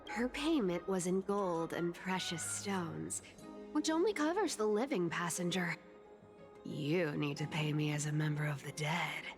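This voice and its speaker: Sly, swooping, playful game demigoddess. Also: female voice, lowish voice, lively intonation. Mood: teasing, flippant. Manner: teasing, flippant, drawling then quick. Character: sly, playful, money-minded young demigod ferrywoman. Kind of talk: video game voice acting. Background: game music.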